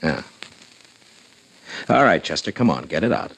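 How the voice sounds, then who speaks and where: An actor playing a steady, weary frontier marshal who narrates slowly and reflectively in a 1950s radio drama. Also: male voice, deep voice, vocal fry, breathy edge, exaggerated voice, low-quality recording. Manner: slow, laconic, reflective. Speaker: narrator and lead.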